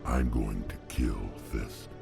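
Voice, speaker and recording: A man playing a gruff, menacing alien warrior bounty hunter in a video game, with a gravelly, blunt delivery. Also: extremely low pitch, slow pace, exaggerated voice. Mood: grim confidence. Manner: blunt, threatening. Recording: game dialogue over music.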